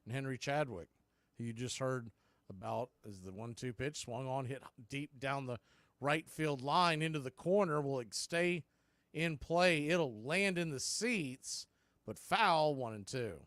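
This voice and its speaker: A man hosting a baseball broadcast, giving play-by-play commentary in clipped phrases with deliberate pauses. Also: medium pitch, a bit bright, dry recording. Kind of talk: live play-by-play commentary mixed with history recap.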